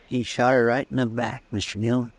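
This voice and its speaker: An actor playing a deferential, plaintive frontier deputy with a rural American Western drawl, in old radio drama style. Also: male voice, mid-range voice, flat delivery, a little fast, natural voice. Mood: subdued. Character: folksy, anxious, deferential deputy of a frontier marshal. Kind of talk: 1950s radio drama acting.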